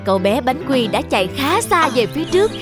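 A woman's voice: high-pitched, big pitch swings, a little fast. A woman narrator reading a scripted children's story. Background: jingly children's music.

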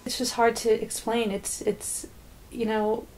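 A woman's voice: on the high side, flat delivery, a little slow, a little mellow, low-quality recording. A woman in her thirties giving a reflective, personal account in a documentary interview. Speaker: adoptive parent, interviewee. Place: living room, indoors.

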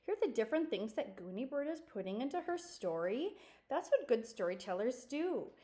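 Lady doing a patient teacher talking to little kids, gentle, explaining. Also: fairly high pitch, very animated, moderate pace. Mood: instructive. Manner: gently instructive, explains to children. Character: patient grown-up female schoolteacher.

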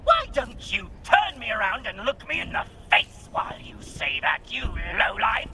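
A man voicing a touchy, spiteful talking gun in a video game, taunting and yelling repetitively. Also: high voice, huge pitch swings, normal speed, gravelly, a crowd in the background, exaggerated voice. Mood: indignant.

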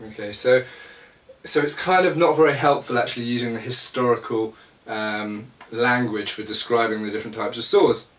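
A man's voice: medium pitch, moderate pace. A British man in his forties who hosts a channel, talking casually to camera with a congested voice while recovering from a lost voice, in a small indoor room. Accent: British English. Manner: relaxed, explanatory, with many fillers. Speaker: channel host. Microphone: camera mic at a short distance. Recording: echoey room, slightly distant mic.

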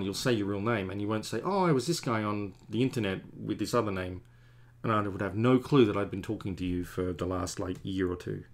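A man in his forties, a comic artist chatting in a rambling, self-deprecating way to stream viewers while he draws at home. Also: on the low side. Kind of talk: rambling casual chat while drawing. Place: home studio at a drawing desk.

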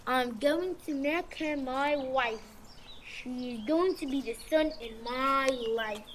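A woman playing an impulsive underworld god in an audio drama, slow and forceful. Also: high voice, a little hiss or hum. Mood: smitten, scheming. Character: impulsive underworld god, dazzled, wanting a bride. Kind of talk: school audio drama acting.

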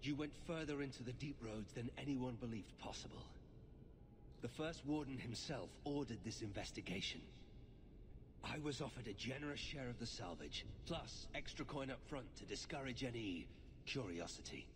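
A man's voice: mid-range voice, animated, unhurried, background noise, natural voice. Man acting a grave warrior nobleman, long echo. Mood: guarded. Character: grave, guarded warrior nobleman in a fantasy game. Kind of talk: dramatic video game dialogue.

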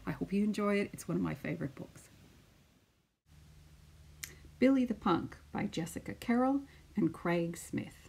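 A woman in her fifties, a teacher, speaking to pupils and reading a children's picture book aloud with deliberate, emphatic phrasing. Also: lowish voice, expressive, creaky, darkish tone, husky. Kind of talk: children's storybook read-aloud.

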